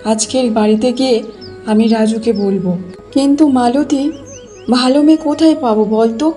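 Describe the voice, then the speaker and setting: A woman voicing a kindly, weary village mother in a cartoon, gently coaxing and advising. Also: fairly high pitch, moderate pace, soft background music, lightly acted. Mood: chatty, concerned. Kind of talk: Bengali cartoon voice acting.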